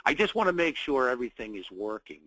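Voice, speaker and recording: A man's voice: medium pitch, big pitch swings, fast, pushed and bright, no room sound, low-quality recording. A man with a cold, sounding a little nasal, hosting a live trading webinar and welcoming viewers in short phrases with plenty of fillers. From United States. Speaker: webinar host, trading educator.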